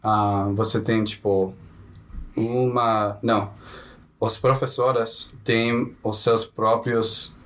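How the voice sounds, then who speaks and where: A man co-hosting a casual interview who pauses to search for words as he asks questions. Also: fairly low pitch, little pitch movement, a bit bright. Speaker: co-host.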